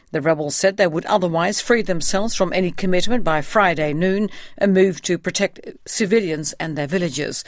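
High-pitched male newsreader. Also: dry recording, studio quality. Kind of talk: scripted news reading.

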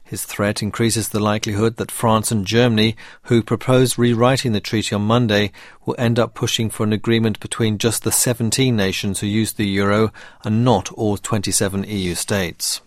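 A man's voice: on the low side, level tone, normal speed, dry recording, clean studio sound. A man reading a scripted news bulletin as a radio newsreader.

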